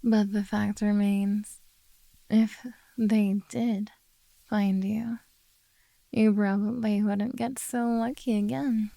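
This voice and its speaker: Woman acting a soft, slow, mellow beastkin monster girl. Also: medium pitch, flat delivery, gravelly, no room sound. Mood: soothing, sly. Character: possessive, coaxing female beastkin monster girl of the woods. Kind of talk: soft, slow ASMR roleplay.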